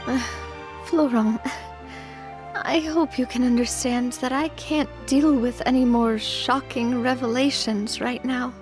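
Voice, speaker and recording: A woman's voice: fairly high pitch, steady tone, music in the background. A woman acting a weary, sincere young woman leader full of self-doubt, speaking hesitantly in a video game. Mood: uneasy, hesitant. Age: young adult. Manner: hesitant, halting, soft-spoken.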